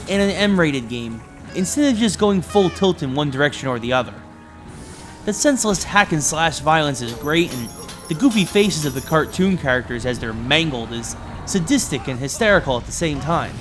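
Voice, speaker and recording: A man narrates a scripted video game review in an emphatic presenter's delivery. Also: a little high. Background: background music.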